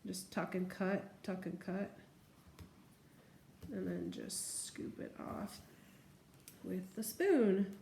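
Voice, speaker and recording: A woman's voice: medium pitch, slow, vocal fry, pauses often, mellow. A woman chatting informally as she narrates a hands-on nail tutorial step by step. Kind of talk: casual hands-on demonstration chat. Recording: echoey room with faint background noise.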